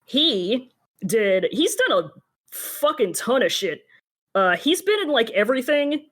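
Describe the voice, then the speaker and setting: A woman's voice: fairly high pitch, very animated, a little slow, fairly bright tone. A woman in her thirties co-hosting a casual podcast chat, wry and sweary, often hesitating as she searches for words. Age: 30s. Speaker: podcast co-host. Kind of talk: casual chat about anime and voice actors.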